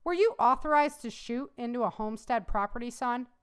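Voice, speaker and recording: A woman's voice: on the high side, a little fast, smooth, natural voice. A woman voicing a stern male army commanding officer who questions bluntly, in a read-aloud story.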